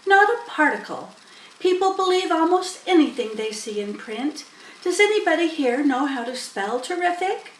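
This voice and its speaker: A woman's voice: high-pitched, sing-song, moderate pace, roomy sound, far from the mic, slight background noise, voice pushed up, rough recording. A woman voicing a clever, businesslike female spider with a frank, commanding manner in a storybook.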